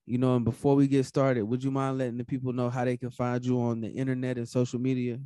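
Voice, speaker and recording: A man's voice: medium pitch, little pitch movement, quick pace, dark tone, smooth, no echo, studio quality. A man hosting a podcast and asking questions in a casual, conversational way. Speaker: podcast host. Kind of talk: casual interview.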